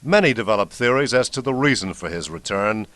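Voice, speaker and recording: A man's voice: fairly low pitch, lively intonation, fairly quick, fairly bright tone, no echo. An American man reading scripted narration as the host of a radio documentary.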